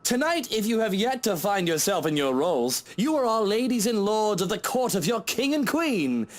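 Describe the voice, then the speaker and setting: An actor playing a smug, hot-tempered rich host in a video game, with a pompous, theatrical delivery. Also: male voice, high-pitched, sing-song, moderate pace. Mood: grandly welcoming.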